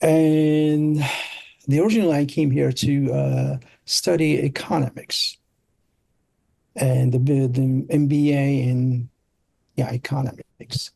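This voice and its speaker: A middle-aged man with a Turkish accent, telling stories casually as an interview guest, often halting and searching for words. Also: mid-range voice, little pitch movement, gravelly, warm tone, no echo. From Turkey. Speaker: interview guest, product designer. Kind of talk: casual conversational storytelling.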